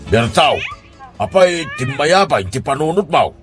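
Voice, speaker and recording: A man's voice: a little high, big pitch swings, a little slow. A man playing a nagging, concerned older uncle in a comedy radio drama. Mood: exasperated. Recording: music under the voice. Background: background music.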